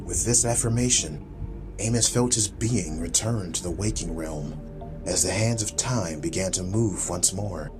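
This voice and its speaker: A man with a deep voice narrates a fantasy audiobook. His delivery is measured, literary and dramatic, with music playing clearly behind him.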